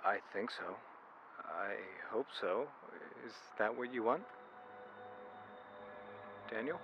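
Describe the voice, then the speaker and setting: Weary, low-pitched young man in a supernatural mystery audio drama; noisy recording. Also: animated, takes it slowly, lo-fi sound. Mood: casual, tender. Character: weary young man narrating and searching for a missing girl. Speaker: narrator and character in supernatural mystery podcast. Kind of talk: audio drama acting with reflective narration.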